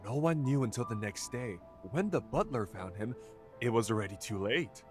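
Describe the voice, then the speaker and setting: An actor voicing an anxious, gossip-hungry middle-aged tabloid reporter in a game, nervous and dramatic in delivery. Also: male voice, mid-range voice, animated, moderate pace, soft background music, lightly acted. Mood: eager, hushed gossip. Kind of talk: English game voice acting.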